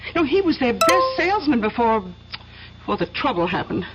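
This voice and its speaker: High-voiced anxious, defensive wife. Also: female voice, big pitch swings, fairly quick. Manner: hesitant, chatty, defensive. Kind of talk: radio mystery drama acting. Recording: dull, faintly noisy old radio recording.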